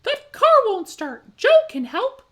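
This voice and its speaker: A woman voicing an excitable helper puppy from a children's storybook, crying out in yelping exclamations. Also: very high voice, measured pace, voice pushed up, full character voice. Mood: excited, alarmed. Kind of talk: children's picture-book character voice.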